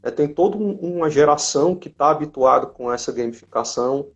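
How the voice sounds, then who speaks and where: A Brazilian man speaks in a slightly high voice with a gravelly fry at the edges. He chats casually on a live stream, restarting and repeating as he explains, and the audio is dull and lo-fi. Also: animated, brisk. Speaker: company representative co-hosting a live stream. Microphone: live video call.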